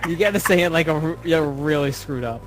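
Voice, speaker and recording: A man's voice: a little high, fairly quick, rough recording. A man co-hosting a let's play, chatting casually with a teasing, joking manner. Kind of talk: casual gaming banter. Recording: voice over game music. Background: video game music.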